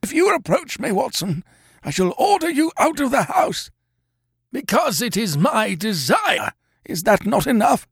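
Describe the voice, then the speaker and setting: A gravely ill detective, played by a man reading a storybook, croaks and gasps with imperious authority. The voice is creaky and broken, swinging widely in pitch, and sounds close and dry. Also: sing-song, a little slow, a bit bright, dry recording, exaggerated voice. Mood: sharp, commanding. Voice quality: croaking, gasping, spasmodic. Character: gravely ill, imperious male detective, feeble yet masterful.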